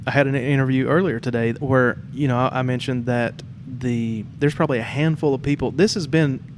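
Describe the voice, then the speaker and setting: A man with a medium-pitched voice hosts a casual podcast conversation. He talks informally, as if chatting in a shared theater studio. There is clear background noise behind him. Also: fairly even intonation, brisk. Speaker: podcast host.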